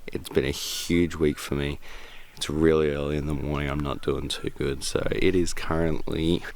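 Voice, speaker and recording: A sick Australian man with a rough voice chats easily to his camera in an unhurried vlog style. His voice sits extremely low. Traffic and engine noise come through clearly on the handheld recording. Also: little pitch movement, a little mellow. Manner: chatty, self-deprecating, easygoing. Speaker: vlogger. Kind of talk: casual vlog talk to camera. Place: early morning outdoors and in a car. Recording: noisy outdoor vlog audio with vehicle sounds.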